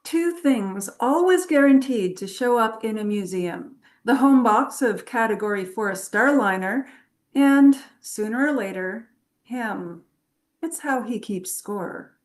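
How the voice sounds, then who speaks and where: A woman playing a flirtatious, knowing adventurer professor in a script table read, with a teasing, unhurried delivery. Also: on the high side, lively intonation.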